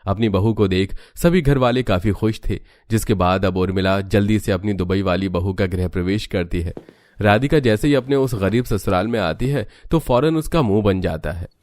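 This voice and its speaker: A man's voice: lowish voice, normal speed, darkish tone, dry recording, clean studio sound. A man narrating a cartoon family story in a scripted storyteller style.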